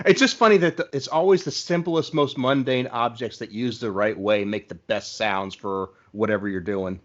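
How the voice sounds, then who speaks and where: A man's voice: medium pitch, normal speed. Male podcast interviewer, dull lo-fi sound. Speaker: podcast host interviewing a guest. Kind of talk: casual interview chat. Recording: dull, lo-fi audio.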